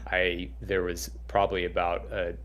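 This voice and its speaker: Deep-voiced man giving earnest advice. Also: completely flat delivery, moderate pace, fairly bright tone. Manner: earnest, encouraging, rambling. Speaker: film director and animator. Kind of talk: panel Q&A answers.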